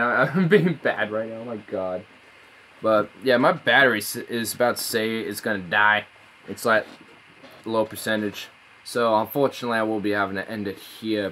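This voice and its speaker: A man's voice: on the low side, fairly bright tone, slight background noise. Laid-back rambling male streamer. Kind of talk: casual live-stream chat. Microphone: phone.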